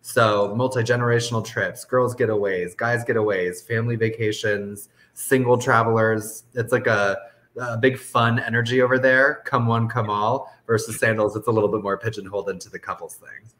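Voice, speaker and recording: Male guest, somewhat low voice, informal and explanatory, conversational sales delivery. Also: little pitch movement, normal speed, a bit bright. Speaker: interview guest, resort business development manager.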